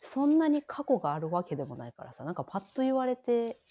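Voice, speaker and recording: A woman's voice: on the high side, big pitch swings, fast, warm tone. A woman in her thirties with a Kansai accent, chatting casually as a podcast co-host. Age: 30s. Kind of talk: casual chat.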